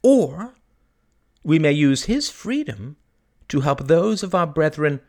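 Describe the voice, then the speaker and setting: Guy putting on a fired-up priest, way higher than his own voice, swinging all over while he preaches. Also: big pitch swings, slow pace, darkish tone, full character voice. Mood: fervent preaching. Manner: impassioned, sermonizing, rhetorical questions. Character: fiery preaching village priest, male, middle-aged.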